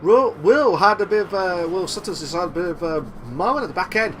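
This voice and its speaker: Excitable man calling a sim race. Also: high voice, brisk, a little hiss or hum. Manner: excitable, repeats himself, shouts at big moves. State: losing his voice. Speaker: sim racing commentator. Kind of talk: live race commentary.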